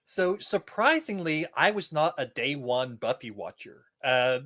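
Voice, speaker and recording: A man's voice: on the high side, expressive, unhurried, pushed and bright. Man guesting on podcast, swooping intonation. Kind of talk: casual pop-culture podcast chat.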